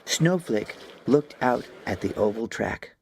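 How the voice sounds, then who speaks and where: A man narrating a children's story from a script, with expressive, deliberate phrasing. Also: medium pitch, vocal fry, slight background noise. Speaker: audiobook narrator.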